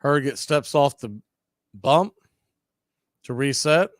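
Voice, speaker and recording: A man calling a live baseball game on radio, chatting and telling side stories between pitches. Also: animated, slow pace, no echo, clean studio sound. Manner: chatty, tells side stories and laughs at them. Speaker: radio play-by-play announcer. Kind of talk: live baseball play-by-play with casual chat.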